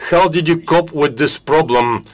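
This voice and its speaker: A man from Germany asks a conference panel a question in a deliberate, earnest way. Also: a little high. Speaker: audience member asking a question.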